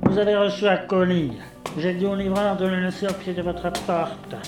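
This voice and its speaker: Male actor as bossy retired-soldier caretaker, high-pitched, nagging, officious, echoey audio fiction recording with music. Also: little pitch movement, moderate pace, close to an everyday voice. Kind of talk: French audio fiction acting.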